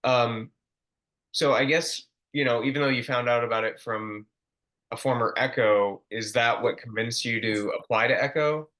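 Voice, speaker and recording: A young man hosting a podcast, casual and relaxed, with slangy words and many ums. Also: mid-range voice, moderate pace. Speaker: podcast host. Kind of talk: casual interview chat.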